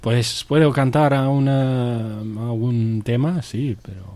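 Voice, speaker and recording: A man's voice: mid-range voice, fairly even intonation, slow pace, warm tone. A man in a band answering modestly and hesitantly in a radio interview.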